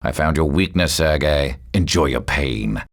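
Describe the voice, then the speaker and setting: A man voicing a cold, arrogant superhuman villain for a video game, speaking with smug, deliberate menace and theatrical mockery. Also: very deep voice, lively intonation, heavily acted. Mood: contemptuous. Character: cold, arrogant male villain, superhuman schemer with sinister calm.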